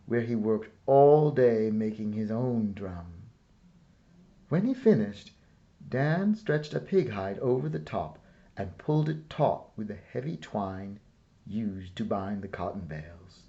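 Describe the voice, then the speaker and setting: A man narrating a children's storybook aloud in a measured, deliberate way with pauses. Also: lowish voice, sing-song, dark tone, highs cut off. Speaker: storyteller reading a picture book aloud.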